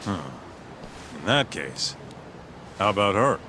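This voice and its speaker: A man's voice: lowish voice, big pitch swings, takes it slowly, background noise. An actor playing a brash, laid-back tough-guy detective in a video game, talking bluntly and teasingly. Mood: cocky, casual. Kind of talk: video game cutscene dialogue.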